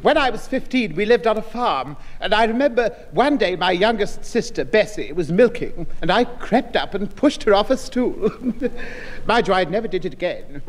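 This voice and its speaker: A genial, bumbling gentleman guest talks in a high, lively, swooping voice. He is fussy and polite, in an old radio sitcom with hall reverb. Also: normal speed. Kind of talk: 1960s radio sitcom acting.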